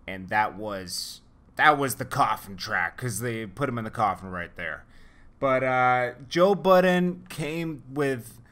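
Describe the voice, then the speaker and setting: A man's voice: fairly low pitch, sharp tone. A young man hosting a casual commentary show, rambling with many fillers and restarts. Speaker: news commentary vlogger.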